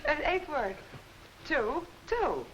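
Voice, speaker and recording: A woman's voice: high-pitched, sing-song, takes it slowly, a crowd in the background. A woman panelist on a 1950s television game show, calling out short guesses in a charades game. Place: TV studio. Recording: noisy old TV recording with audience.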